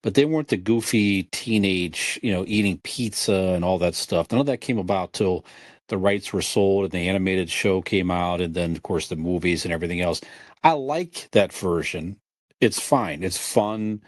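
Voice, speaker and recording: Middle aged guy on a podcast, lowish voice, dry sound, just chatting. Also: normal speed, no echo. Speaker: podcast co-host. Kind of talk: casual podcast chat.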